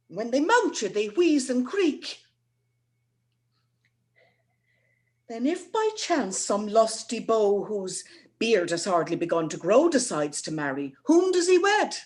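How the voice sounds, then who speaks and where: A woman playing an indignant, bawdy young maiden in a dramatic recital of comic verse, declaiming rhythmically. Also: medium pitch. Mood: indignant, scornful. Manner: declamatory, theatrical, rhythmic delivery. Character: lovelorn, indignant, bawdy young Irish maiden pleading in court.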